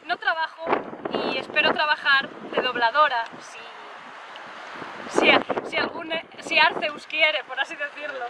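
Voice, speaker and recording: A woman's voice: sits high, slow. A woman from Spain, chatting casually and playfully as she is interviewed outdoors. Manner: informal, playful, joking. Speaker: gaming video creator being interviewed. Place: outdoors by the sea, windy. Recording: wind-battered outdoor recording, distant mic.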